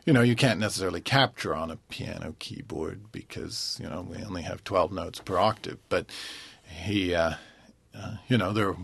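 A man, a concert pianist, answering interview questions thoughtfully and pausing often to find his words. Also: deep voice, gravelly, a bit bright, slightly rough, no room sound. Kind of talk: relaxed radio interview.

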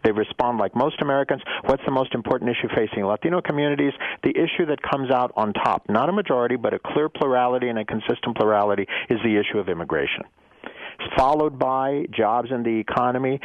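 A man speaking as a professor guest, explaining politics in a measured, articulate and emphatic way. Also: medium pitch, no echo. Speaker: professor guest on call-in show. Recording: dull lo-fi radio sound.

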